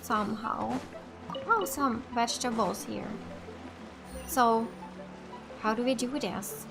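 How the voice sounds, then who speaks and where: Female gaming streamer from Finland, Finnish native, high voice, unhurried casual commentary, game music clearly behind her. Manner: thinking aloud, tentative. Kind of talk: casual let's play commentary.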